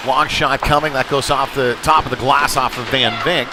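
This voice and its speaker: A man's voice: medium pitch, steady tone, normal speed, very noisy. A man doing casual, conversational live play-by-play commentary of a youth hockey game from a rink, on a headset. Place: chilly ice rink.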